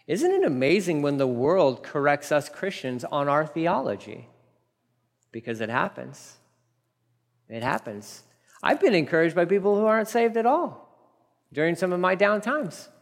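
Man, pastor, lively sermon, acts out characters, reverberant hall. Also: a little high, gravelly. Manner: animated, acts out dialogue with laughs. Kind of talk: verse-by-verse sermon.

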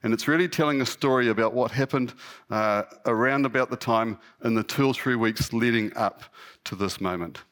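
A man with a New Zealand accent and a slightly husky voice, giving an informal, lightly humorous, self-deprecating sermon to a congregation as a lay guest preacher. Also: mid-range voice, normal speed, fairly bright tone.

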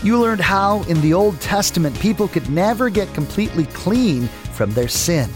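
A male radio announcer with a fairly high voice reads a polished script in a smooth, lively way. Soft music plays under him. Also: normal speed. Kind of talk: scripted program wrap-up. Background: soft music bed.

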